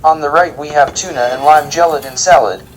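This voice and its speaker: A man's voice: on the high side, a little fast, slight background noise, natural voice, low-quality recording. A man playing a pedantic, literal-minded alien food expert in an audio drama, speaking formally and precisely. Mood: earnest, pedantic. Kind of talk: scripted audio drama dialogue.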